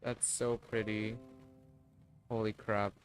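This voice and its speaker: A man's voice: lowish voice, level tone, slow, faint music behind. A man reacting casually on a game livestream, making informal asides as he plays.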